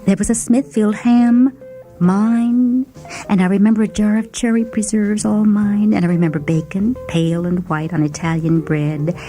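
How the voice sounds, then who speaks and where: A woman narrating a first-person short story in a dramatic, expressive, theatrical manner. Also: medium pitch, moderate pace. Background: music.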